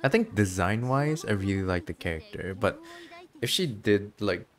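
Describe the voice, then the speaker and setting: A game streamer with a low voice talks casually through what is on screen. The delivery is chatty and giggly, like relaxed commentary for viewers. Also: moderate pace.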